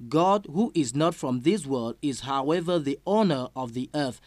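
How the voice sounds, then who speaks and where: Male church interpreter, slow and deliberate, slight stumbles, dry recording. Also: on the high side, expressive, a little mellow, no room sound. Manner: slow, careful, with small slips and fillers. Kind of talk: consecutive sermon interpreting.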